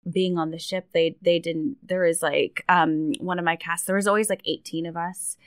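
A woman performer joins a podcast as a guest. She talks in an animated way, and her voice is fairly low. The sound is dry. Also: normal speed, smooth, no echo. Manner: animated, laughs easily. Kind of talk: casual conversation.

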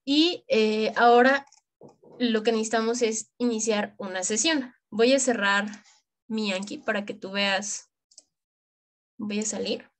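A woman's voice: fairly high pitch, unhurried, pauses often. A young adult woman from Mexico with a Mexican accent, giving an informal tutorial in colloquial, slangy language, speaking straight to the listener. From Michoacán, Mexico. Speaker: tutorial presenter for medical students. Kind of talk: informal screen-share tutorial.

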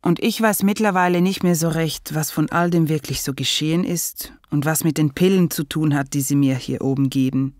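A woman's voice: low voice, normal speed, hardly any pauses, darkish tone, no room sound, clean studio sound, close to an everyday voice. An actress in an audio drama narrating as a sceptical, foul-mouthed young woman. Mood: wry. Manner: sardonic, swears a lot. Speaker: narrator and protagonist.

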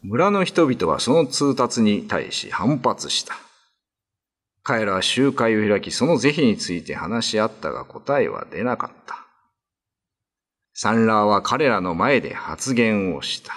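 A man's voice: lowish voice, big pitch swings, a little slow, fairly bright tone, husky. A man narrating a scripted ghost-story radio drama in a dramatic storytelling style. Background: music.

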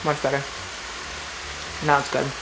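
A woman in a home kitchen tasting food and giving short, low-key verdicts. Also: flat delivery, a little slow, gravelly, noisy background. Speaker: taste tester.

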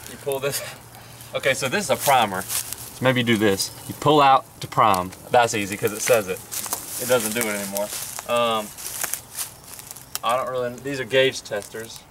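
A man's voice: medium pitch, moderate pace, pauses often. Young man, playful explorer, outdoor junkyard, audible ambient noise. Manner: playful, clowning, guessing out loud. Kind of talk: casual joking banter.

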